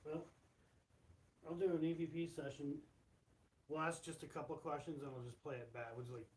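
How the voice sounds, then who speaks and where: A man's voice: on the high side, fairly even intonation, a little fast. A man running the recorder on a ghost hunt, practical and matter-of-fact. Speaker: paranormal investigator running the recorder. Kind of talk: casual chat during a ghost hunt. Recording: distant voice with clear background hiss.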